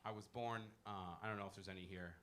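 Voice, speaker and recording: A young man from Iran doing a brash, animated stand-up set in a comedy club and teasing the crowd on a handheld mic. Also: fairly low pitch, fast, very noisy. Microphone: handheld stage mic.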